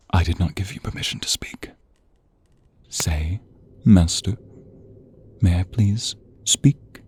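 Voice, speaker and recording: A man voicing a seductive, domineering vampire in an ASMR roleplay, teasing and commanding in a breathy voice that often drops to a whisper. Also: low-pitched, mellow, no room sound, full character voice. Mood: domineering, smug. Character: sinister, seductive male vampire, domineering and predatory master. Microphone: close, dry studio microphone.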